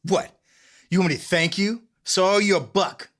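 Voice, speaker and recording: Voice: mid-range voice, moderate pace, a bit bright, voice pushed up, lightly acted. A performer reading an exasperated, bitter husband in a comic table read, sounding irritated, sarcastic and defensive. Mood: indignant.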